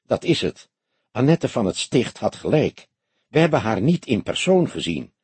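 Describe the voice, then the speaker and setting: A man reads as an older detective with a very husky, rough voice. He speaks in measured, formal phrases, calm and sceptical, in a dry, close audiobook sound. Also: medium pitch, dry recording. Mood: resigned. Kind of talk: audiobook character dialogue.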